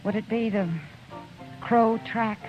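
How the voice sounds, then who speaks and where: A woman's voice: fairly high pitch, level tone, takes it slowly. An earnest, pleading frontier saloon woman who is worried about a friend. Her lines have a 1950s radio drama delivery. The recording is a dull vintage broadcast with faint music.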